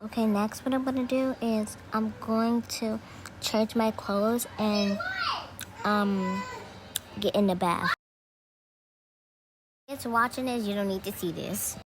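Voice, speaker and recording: A female voice narrating a roleplay routine in a casual, halting, step-by-step way. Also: medium pitch, fairly even intonation. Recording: clearly noisy home recording.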